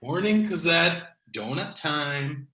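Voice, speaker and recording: A man plays a grumbly repairman who avoids customers, in a casual comedy read. His voice is bright and lively in pitch, and the room echoes around it. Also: takes it slowly, heavily acted. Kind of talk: comedy script table read.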